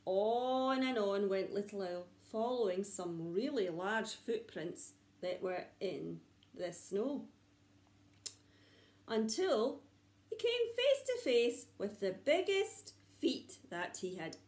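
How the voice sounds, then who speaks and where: A woman reading a story to young children like a nursery teacher, with dramatic pauses and repeated words for suspense. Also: mid-range voice, animated, darkish tone. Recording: echoey room.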